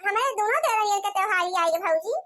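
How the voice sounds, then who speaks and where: A woman's voice: extremely high pitch, quick pace, fairly bright tone. A woman voicing a meek, long-suffering village daughter-in-law in an Awadhi accent, plaintive, for a cartoon comedy. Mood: pleading.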